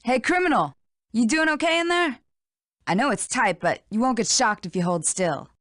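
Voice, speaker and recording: A proud, hard-nosed fox inspector in a cartoonish game. Her voice is fairly high and lively, brisk and dismissive, recorded dry. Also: no echo. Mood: tough, resolute. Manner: brisk, dismissive, self-assured. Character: tough, proud female fox police inspector.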